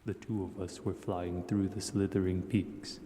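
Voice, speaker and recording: A huge, proud dragon, played by a man, speaks very low and dark in a long, cavernous reverb. He is measured and formal, a haughty, learned hoarder addressing his guest in a fantasy audio roleplay. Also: flat delivery. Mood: reminiscing. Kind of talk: audio roleplay, scripted monologue to the listener.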